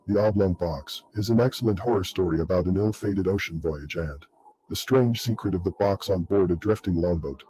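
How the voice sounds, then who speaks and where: A man's voice: sits low, mellow, natural voice, low-quality recording. A man playing a spooky horror show host, giving a formal, theatrical scripted radio introduction. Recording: faint background noise.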